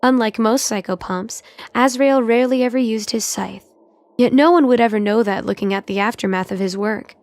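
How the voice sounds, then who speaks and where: A woman's voice: a little high, normal speed, a little mellow, no echo. A woman reading scripted, dramatic story narration for an audio drama.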